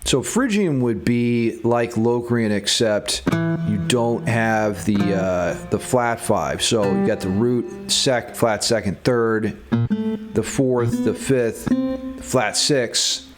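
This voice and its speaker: A man's voice: fairly low pitch, takes it slowly. A man giving a casual, informal music theory lesson as a guitar instructor, thinking aloud as he demonstrates. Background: electric guitar playing.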